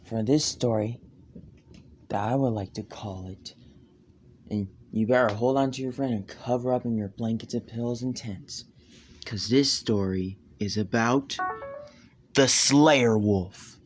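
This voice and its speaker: A man's voice: medium pitch, a little slow, a little hiss or hum. A man improvising an amateur campfire horror story with theatrical, ominous emphasis.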